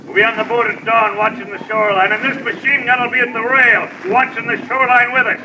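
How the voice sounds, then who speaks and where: A man's voice: very high voice, little pitch movement, fast. An actor playing a tough, suspicious, menacing gunman with slangy speech in an old-time radio drama. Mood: grudging, menacing. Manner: slangy, menacing, barked from a distance. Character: tough, suspicious gun-toting villain, loud and menacing. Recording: distant, muffled, noisy old radio recording.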